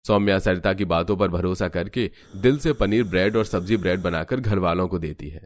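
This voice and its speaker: A man narrating a cartoon story in a steady, plain way. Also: low voice, fairly quick.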